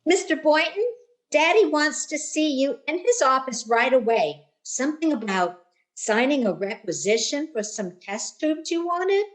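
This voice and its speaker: A woman's voice: high-pitched, expressive, unhurried, poor audio. A woman playing a cheerful, helpful teenage schoolgirl in a vintage radio sitcom style. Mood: eager, helpful.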